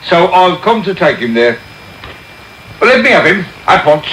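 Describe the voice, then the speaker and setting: An actor playing a pompous, callous, self-important parish beadle in a period radio drama, with a grandiose, declamatory delivery. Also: male voice, high-pitched, sing-song, measured pace, fairly bright tone, faint music behind, full character voice. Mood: stern, contemptuous. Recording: noisy off-air radio recording, roomy echo.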